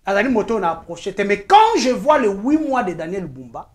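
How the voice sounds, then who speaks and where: A woman's voice: medium pitch, very animated, brisk. A woman journalist from Kinshasa with a Congolese French accent, arguing her case point by point as a guest on a talk show and switching into Lingala phrases. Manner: insistent, argues point by point, mixes in Lingala phrases. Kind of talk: heated talk-show debate.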